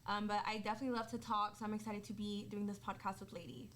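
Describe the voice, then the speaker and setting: A woman's voice: medium pitch, level tone, fast, a bit bright. A woman in her twenties co-hosting a podcast, talking in an informal, chatty way. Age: 20s. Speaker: podcast co-host. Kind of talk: casual podcast chat.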